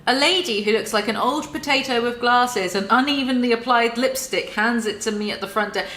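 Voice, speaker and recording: A woman's voice: medium pitch, a bit bright, slight background noise. A woman reading a book aloud in a mock-theatrical way and breaking off for sarcastic commentary. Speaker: YouTuber reading and mocking a book. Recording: roomy echo.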